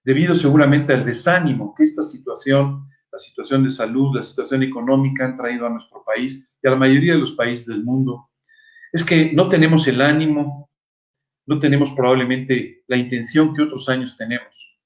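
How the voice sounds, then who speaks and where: Male preacher, mid-pitched, earnest teaching delivery, echoey and slightly dull recording. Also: normal speed. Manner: earnest, didactic, rhetorical questions. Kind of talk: sermon. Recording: echoey room, dull muffled sound.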